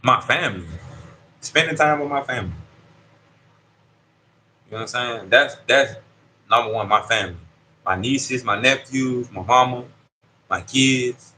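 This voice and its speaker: A man's voice: mid-range voice, measured pace, long pauses, pushed and bright, slight background noise. A man in his thirties, an incarcerated interviewee, talking casually in street slang and often checking in with the listener. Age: 30s. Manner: street slang, keeps asking "you see what I'm saying". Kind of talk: casual conversational storytelling. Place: prison dorm.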